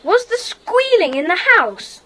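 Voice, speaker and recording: A woman's voice: very high voice, big pitch swings, unhurried, fairly bright tone, exaggerated voice. A woman playing a bold, inquisitive young boy detective with an eager, earnest manner in a children's audio drama. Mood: insistent.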